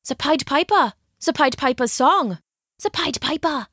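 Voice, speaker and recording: Woman voicing high, panicked villagers. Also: animated, measured pace, a bit bright, no echo, clean studio sound. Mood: amazed whispers. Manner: exclamatory, urgent cries. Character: panicked crowd of villagers calling out.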